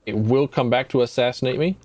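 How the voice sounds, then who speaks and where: A man's voice: mid-range voice, brisk, darkish tone. Rambling man streaming a game. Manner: rambling, self-correcting, wry. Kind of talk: casual gameplay commentary.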